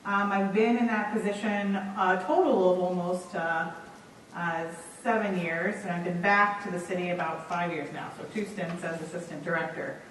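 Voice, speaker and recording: A woman giving a public talk as guest speaker, relaxed and conversational. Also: on the low side, normal speed, a little hiss or hum. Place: auditorium. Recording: echoey hall, distant mic.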